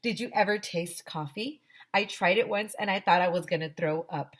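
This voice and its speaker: A woman's voice: on the low side, moderate pace, natural voice. A woman voicing a cheeky, wisecracking young schoolboy in a children's storybook, chatty and emphatic. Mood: indignant. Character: wisecracking young schoolboy narrator who hates grown-up stuff.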